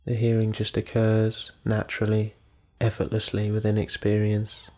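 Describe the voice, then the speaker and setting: Male meditation guide: lowish voice, very flat intonation, calm and measured delivery with space between phrases. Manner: calm, measured, with unhurried pauses.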